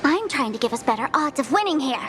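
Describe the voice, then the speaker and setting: A woman's voice: high-pitched, sing-song, quick pace. A woman voicing a playful, taunting young female streamer villain in a video game, with a teasing, mocking and theatrical manner. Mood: scornful. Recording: game audio with music underneath.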